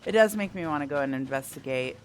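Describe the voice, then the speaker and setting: A woman's voice: low voice, animated, fast, slight background noise. A woman doing casual playthrough commentary, thinking aloud and asking herself questions as she goes. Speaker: gameplay host.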